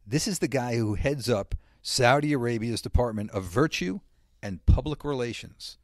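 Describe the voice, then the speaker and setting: A wisecracking male host with a mid-range, lively voice that rises and falls a lot. He needles his guest with sarcastic questions, recorded dry and close. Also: medium pitch, moderate pace, no room sound. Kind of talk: scripted comedy interview.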